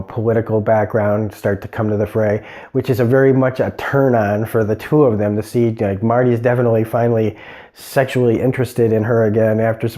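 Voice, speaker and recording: A man's voice: on the low side, mellow. A man hosting a TV review show, talking casually and rambling with many fillers and restarts. He has a cold and sounds nasal. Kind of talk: casual unscripted episode review.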